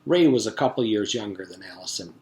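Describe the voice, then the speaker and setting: A man's voice: on the low side, lively intonation, a little fast, darkish tone. A man narrating a children's story aloud, like a teacher reading to pupils.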